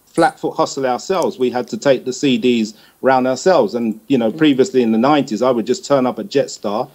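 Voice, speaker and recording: A man's voice: mid-range voice, a little fast, darkish tone. A middle-aged man giving a conversational interview answer as a guest, often repeating words as he feels his way along. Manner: hesitant, repeats words as he goes. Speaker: guest, music promoter and manager.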